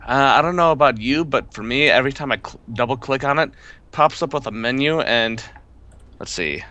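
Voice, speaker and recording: A man's voice: mid-range voice, expressive, fairly quick, sharp tone, highs cut off. Man chatting casually during a game. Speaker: player in an online horror game. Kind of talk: casual chat while gaming. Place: voice call over the internet.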